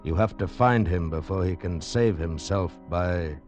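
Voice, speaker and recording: A man with an American accent announcing in dramatic vintage radio style, slow and ominous, addressing the listener directly. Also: low voice, a little mellow. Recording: dull old radio broadcast recording. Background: faint music.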